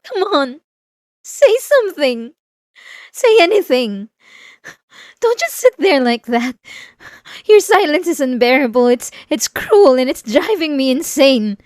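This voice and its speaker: A woman's voice: sits high, sing-song, measured pace, long pauses, a little mellow, no echo, studio quality. A woman playing an unhinged, possessive and obsessive wife in a dramatic ASMR roleplay, intense and swinging between pleading and menace. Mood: hurt, furious. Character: unhinged possessive, obsessive young wife confronting her husband.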